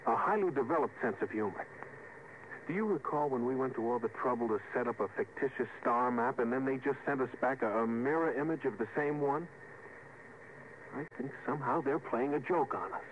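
A man's voice: medium pitch, a little fast, natural voice. Man acting an earnest junior space officer, muffled radio. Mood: thoughtful. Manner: deferential, earnest. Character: earnest, reasoning male junior starship officer. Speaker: actor. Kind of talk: mid-century radio drama acting. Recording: muffled, hissy vintage radio recording.

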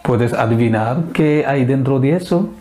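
A man in his thirties from Kerala in India, a native Malayalam speaker with an Indian accent, presenting to camera in a second language with halting, deliberate phrasing. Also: mid-range voice, animated, a crowd in the background. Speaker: video host. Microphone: clip-on lapel mic.

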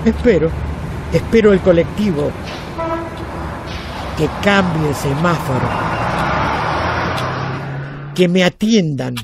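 An actor playing a weary retired man in his eighties with an Argentine accent, reflecting deliberately in an audio drama. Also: fairly high pitch, expressive, heavy background noise. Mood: reflective, resigned. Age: 80s. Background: music.

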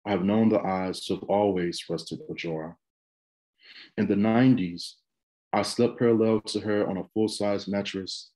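Deep-voiced man reading poetry. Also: a little mellow, rough recording. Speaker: poet. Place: small room with bookshelves, indoors. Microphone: video call computer microphone.

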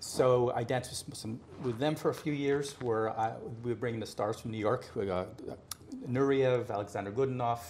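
A middle-aged man from Miami tells his story in an easygoing, unhurried way. His voice sits at a middle pitch. A faint hiss of room noise runs underneath. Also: steady tone, a little mellow. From Miami, United States. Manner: easygoing, gestures with hands while talking. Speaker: artist guest. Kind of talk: relaxed conversational storytelling. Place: living room in a home.

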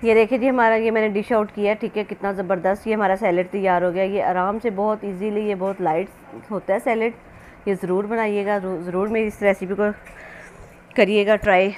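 A woman's voice: medium pitch, fairly even intonation, brisk, darkish tone. A woman narrating a recipe casually as a home cooking host, instructional and often repeating herself. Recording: noisy amateur home recording.

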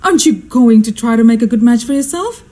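Woman acting a fussy, theatrical society mother, swooping pitch. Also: animated, quick pace, dark tone, close to an everyday voice. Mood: scolding. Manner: theatrical, exclamatory. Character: fussy, imperious, status-hungry society mother and hostess. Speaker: actress. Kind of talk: radio drama acting.

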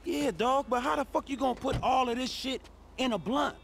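Exasperated, high-voiced American man, over street noise. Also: fairly quick, a bit bright, full character voice. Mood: skeptical, baffled. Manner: exasperated, curt, slangy. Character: skeptical, irritable, foul-mouthed street-raised father, weary of friend. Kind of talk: video game cutscene acting. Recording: clear vehicle and street noise.

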